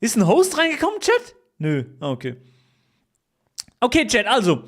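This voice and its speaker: A man's voice: extremely high pitch, wildly swooping pitch, moderate pace. A young man from Switzerland, streaming live and chatting casually with his viewers, jokey, repeating himself and teasing the chat.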